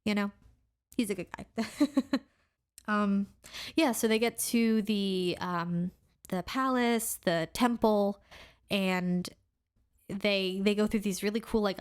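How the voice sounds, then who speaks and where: A woman's voice: medium pitch, unhurried, clean tone. A woman co-hosting a podcast in casual chat, talking eagerly with lots of filler words. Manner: enthusiastic, heavy use of "like" and "really cool". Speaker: podcast co-host.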